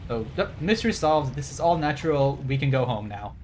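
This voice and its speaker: A man with a medium-pitched voice commentates on a game for a livestream. He is casual and wry, often digressing to explain things. Faint ambient noise sits behind him. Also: lively intonation, fairly quick, darkish tone. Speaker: game streamer.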